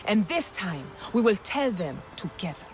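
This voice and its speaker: A woman's voice: mid-range voice, sing-song, moderate pace, a little hiss or hum, close to an everyday voice. A woman playing a proud, commanding clan leader of an alien people, speaking formally and ceremonially in a dramatic video game. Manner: formal, oratorical.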